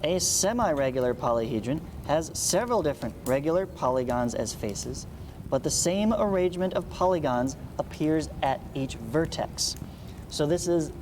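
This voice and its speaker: Male math teacher over background noise. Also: mid-range voice. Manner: explanatory, frequent check-in tags like "right?" and "okay?". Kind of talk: read-along lesson with asides.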